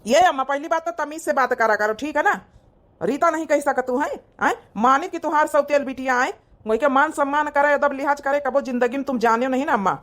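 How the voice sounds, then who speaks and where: A woman plays a grown village son in an Awadhi cartoon comedy. The voice is on the high side. He comes across as earnest and protective. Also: a little fast, fairly bright tone. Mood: firm, reproachful. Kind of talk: Hindi cartoon comedy acting.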